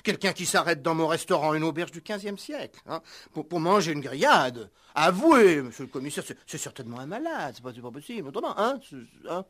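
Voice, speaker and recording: A fussy, self-righteous innkeeper in an old French radio play. His voice sits fairly high and leaps about constantly. He stammers, flusters and says everything several times over to prove himself. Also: sing-song, fairly quick, fairly bright tone. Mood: indignant, fussy. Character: nervous, eager, self-righteous male country innkeeper and cook.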